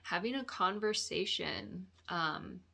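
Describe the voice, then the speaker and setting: A woman with a raspy, dry-throated voice giving a weekly tarot forecast, offering reflective, meandering guidance to her viewers. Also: lowish voice, lively intonation, takes it slowly, pushed and bright. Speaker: tarot reader and yoga therapist.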